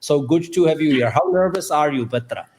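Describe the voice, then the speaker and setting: A man's voice: fairly high pitch, a little mellow. A man hosting a live online interview as a career consultant, speaking in slightly phrasing over a video call. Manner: halting, slightly non-native phrasing. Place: home or office in Switzerland.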